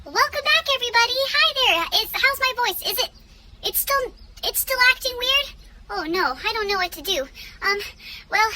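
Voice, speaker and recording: A childlike girl presenting a kids' news skit. Her squeaky voice is extremely high and swoops around with a flustered, exaggerated delivery. There is faint noise behind her. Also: expressive, fast talker, long pauses, mellow, reverberant. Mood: flustered, worried. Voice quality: squeaky, funny-sounding voice. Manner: exaggerated, pleading little-kid delivery. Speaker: host of a children's church news segment.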